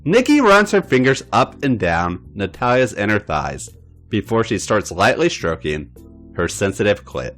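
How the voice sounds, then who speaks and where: A man narrating a story aloud as a podcast host, with an expressive reading style. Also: on the low side, normal speed, faint music behind.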